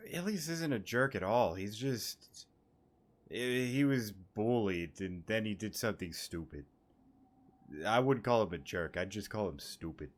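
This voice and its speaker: A man's voice: on the low side, animated, measured pace. A man giving casual playthrough commentary as a gaming creator, slangy, sweary and irreverent.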